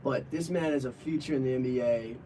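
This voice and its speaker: A man co-hosting a casual sports debate, talking excitedly and restarting his sentences as he hypes players. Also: mid-range voice, a little fast, distant mic, slight background noise, poor audio. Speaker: co-host.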